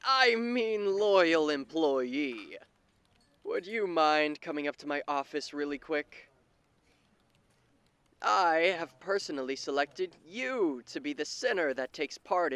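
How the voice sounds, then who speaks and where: A smug TV-headed demon executive voiced by a man purrs through an ASMR roleplay at an unhurried pace. He is slick and theatrical, with possessive intent under false politeness, in a dry, close recording. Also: a little high, a bit bright, clear, resonant tone, dry recording. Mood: smug, coaxing.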